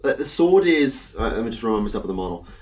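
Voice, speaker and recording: A young man co-hosting a casual podcast, talking haltingly with plenty of ums and uhs. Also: medium pitch, sing-song, a crowd in the background. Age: young adult. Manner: hesitant, lots of ums and uhs. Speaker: podcast co-host. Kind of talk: casual chat.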